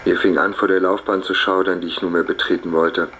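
An actor playing a brooding outlawed innkeeper turned murderer in a radio play, speaking in a grave, measured, reflective way. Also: male voice, low voice, level tone, a bit bright, slight background noise. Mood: uneasy, reflective. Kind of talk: German radio play, first-person narration and dialogue. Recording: music clearly behind the voice.

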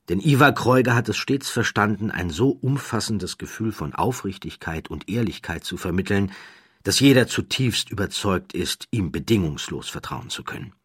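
Deep husky guy reading an audiobook, dry close sound, builds drama as he goes. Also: dry recording. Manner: measured, dramatic storytelling with swells of emphasis. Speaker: narrator. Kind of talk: scripted audiobook narration.

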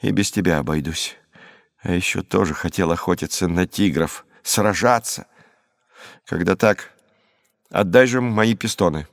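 A man's voice: low voice, measured pace, gravelly, long pauses, dry recording. A proud young would-be adventurer from a storybook, played by a man. He is stern and haughty, pressing his demands in grand declamatory phrases. The recording is dry, with no room sound. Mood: scornful persuading. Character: bold stern schoolboy adventurer, proud and bossy.

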